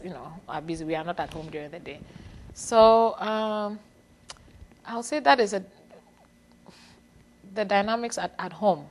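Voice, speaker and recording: A woman's voice: fairly low pitch, slight background noise, low-quality recording. A middle-aged woman from Ghana whose first language is Fanti, speaking thoughtfully in an interview, with frequent small laughs and restarts. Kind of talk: reflective personal interview.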